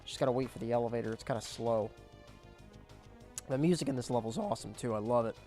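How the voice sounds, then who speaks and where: A young man casually commentating his gameplay, explaining objectives as he goes, his voice cracking and squeaking now and then. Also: quick pace, warm tone, faint music behind. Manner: chatty, explains objectives as he plays. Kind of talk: casual let's play commentary.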